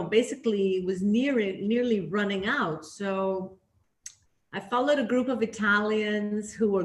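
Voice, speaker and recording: A middle-aged woman raised in Canada, telling her career story as an interview guest in a candid, reflective way with frequent fillers and restarts. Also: medium pitch. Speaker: interview guest, leadership trainer. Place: living in Greece. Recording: echoey room.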